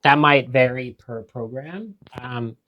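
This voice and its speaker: A man from Finland in his thirties, hosting a family vlog in relaxed, casual chat with fillers. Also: mid-range voice, slow pace, dull audio. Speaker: vlog host.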